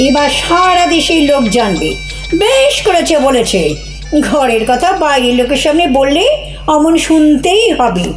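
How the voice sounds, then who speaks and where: A high, expressive female voice of an actress as a bickering wife in a comedy play. She is sarcastic and scolding, mocking her husband with theatrical flair. There is room echo and faint music behind. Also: moderate pace. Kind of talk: Bengali audio comedy play acting.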